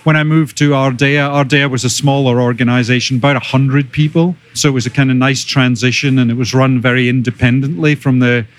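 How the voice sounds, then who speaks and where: A middle-aged man with a Scottish accent, a biotech executive answering interview questions in a measured, detailed, conversational way. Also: medium pitch, fairly even intonation. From Scotland. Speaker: podcast guest, biotech executive.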